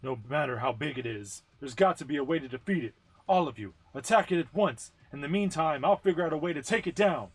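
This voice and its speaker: An urgent young commander, acted by a man, calls out in a raised, forceful voice. The delivery is melodramatic, like a game hero rallying a squad. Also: a little high, expressive, a little fast, slightly rough, no room sound, voice pushed up, poor audio. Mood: commanding. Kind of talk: dramatic amateur reading of game story.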